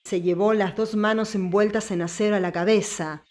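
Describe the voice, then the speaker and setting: A woman's voice: mid-range voice, fairly even intonation, moderate pace, darkish tone. A woman reading scripted story narration as an audiobook narrator.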